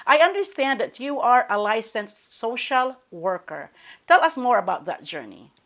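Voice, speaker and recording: A woman's voice: fairly high pitch, very animated, normal speed, a bit bright. Woman hosting an interview. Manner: formal, announcer-like introductions with slips. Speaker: interview show host.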